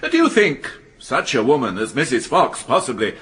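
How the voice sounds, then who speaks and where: An actor playing a formal, probing British prosecuting barrister who questions in a measured way in a radio courtroom drama. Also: male voice, mid-range voice, big pitch swings, a bit bright. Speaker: prosecuting counsel.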